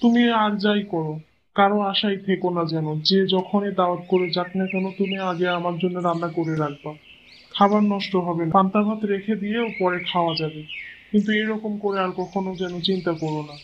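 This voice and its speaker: A man's voice: sits high, steady tone, a little fast, highs cut off, a little hiss or hum, lightly acted. A man voicing a kindly, resigned old village father-in-law in a cartoon story, with a coaxing, homely way of talking. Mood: hungry, nagging. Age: older. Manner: coaxing, homely, repeats himself.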